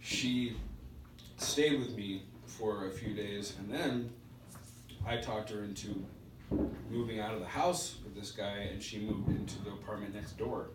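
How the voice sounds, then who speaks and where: A middle-aged man with a stutter gives a personal testimony at a recovery meeting, speaking in halting, spaced-out phrases with pauses. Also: lowish voice, steady tone. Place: indoor meeting room. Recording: roomy, distant mic with background hiss.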